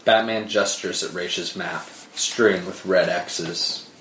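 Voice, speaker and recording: A man's voice: sits low, fairly even intonation, slow pace, a bit bright, a little hiss or hum. A man giving dramatic scripted narration, reading out a screenplay's stage directions. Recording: roomy sound with music underneath.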